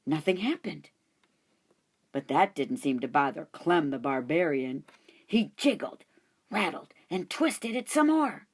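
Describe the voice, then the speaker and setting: A woman reading a children's chapter book aloud in an expressive storytelling manner. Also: sits low, a little slow, slightly rough. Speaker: audiobook reader. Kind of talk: children's audiobook narration.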